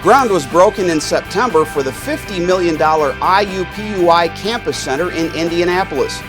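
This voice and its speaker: An American man reading scripted newsreel narration in a measured announcer's delivery. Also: high-pitched, expressive, poor audio. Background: music.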